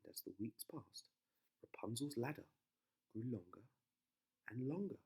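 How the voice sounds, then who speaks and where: A man's voice: medium pitch, expressive, unhurried, vocal fry, a little mellow, husky. A man narrating a children's bedtime story as a dramatic storyteller.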